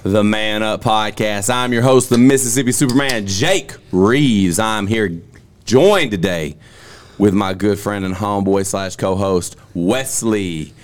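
A man hosting a podcast, joking loudly in quick emcee patter. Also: lowish voice. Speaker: podcast host. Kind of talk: casual chat.

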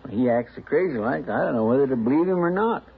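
Gravelly, drawling sidekick, 1950s radio play, tinny with hiss. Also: male voice, mid-range voice, lively intonation, fast. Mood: folksy. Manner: drawling, hesitant, rustic grammar. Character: folksy, eager deputy sidekick, young man. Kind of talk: 1950s radio drama acting.

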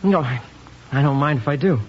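An American actor playing a hesitant, earnest, lovesick young husband in a 1950s radio comedy. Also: male voice, a little high, fairly quick, natural voice. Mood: bashful. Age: young adult. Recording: noisy, muffled 1950s radio recording.

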